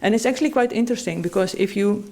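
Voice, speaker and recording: Woman guest on a TV panel, mellow and dark tone, thoughtful, pausing often. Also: mid-range voice, creaky. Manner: thoughtful, many fillers and pauses. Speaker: panel guest, medical anthropologist. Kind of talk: studio talk show discussion. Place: TV studio.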